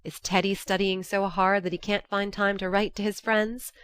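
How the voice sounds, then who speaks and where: A woman's voice: medium pitch, flat delivery, moderate pace, a bit bright, clear, resonant tone, dry recording. A woman narrating an audiobook, reading a classic novel's first-person letters as scripted narration.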